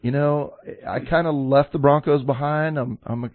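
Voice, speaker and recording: A man's voice: fairly high pitch, steady tone, moderate pace, no room sound. Easygoing male radio co-host from Colorado. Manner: easygoing, reads scores with enthusiasm. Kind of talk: casual sports radio chat. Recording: dry, phone-like narrow sound.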